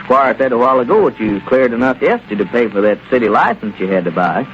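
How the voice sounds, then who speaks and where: An actor playing a plain-spoken country friend with chuckling asides in a 1930s radio comedy. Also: male voice, medium pitch, lively intonation, fast talker, faint music behind, natural voice. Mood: friendly. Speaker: townsman Dick. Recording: lo-fi old radio broadcast.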